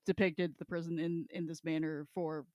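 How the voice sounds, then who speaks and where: A woman's voice: deep voice, flat delivery, normal speed, warm tone, no echo. A woman co-hosting a podcast, chatting informally about books in a wry, sweary way. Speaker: podcast co-host. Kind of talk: casual book discussion chat.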